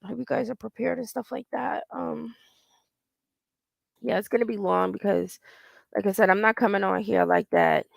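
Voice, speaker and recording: A woman's voice: medium pitch, expressive, normal speed, vocal fry, long pauses, no echo. A woman in her forties hosting a casual livestream, talking in a blunt, profane and rambling way and reading out viewer comments. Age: 40s. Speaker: livestream host.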